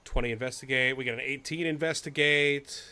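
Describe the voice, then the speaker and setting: A man's voice: mid-range voice, steady tone, fairly quick, sharp tone. A man running a tabletop fantasy horror game as game master, moving between casual table talk and atmospheric description.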